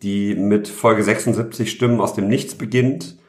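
A man's voice: lowish voice, fairly even intonation, moderate pace, a little mellow. A man co-hosting a casual podcast chat, talking enthusiastically with many filler sounds. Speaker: podcast co-host. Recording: roomy echo.

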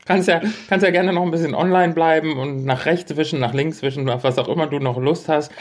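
A man's voice: fairly high pitch, fairly quick. A man co-hosting a casual podcast, chatting in a teasing, playfully blunt way. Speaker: podcast co-host. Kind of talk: casual banter chat.

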